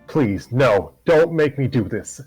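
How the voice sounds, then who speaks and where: A man's voice: mid-range voice, expressive, slow pace, a little mellow, slightly rough, faint music behind. A man voicing an anxious, dramatic teenage girl who lies badly, in a comedic amateur fan fiction reading. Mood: pleading.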